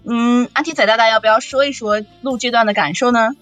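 A woman's voice: fairly high pitch, fairly even intonation, quick pace, fairly bright tone. A woman hosting a casual chat, playful and teasing with her guest. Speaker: host. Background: saxophone background music.

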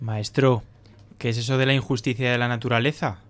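A man's voice: mid-range voice, fairly even intonation, a little fast, close to an everyday voice. A man playing a puzzled, earnest young pupil asking questions in a scripted audio drama. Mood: curious. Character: inquisitive, puzzled young male pupil questioning his teacher.

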